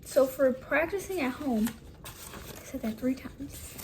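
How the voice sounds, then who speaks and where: A young woman with a naturally high voice, talking casually and rambling a little as she shares acting tips from home. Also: animated, takes it slowly, slight background noise. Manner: chatty, rambling, self-correcting, casual. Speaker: vlogger.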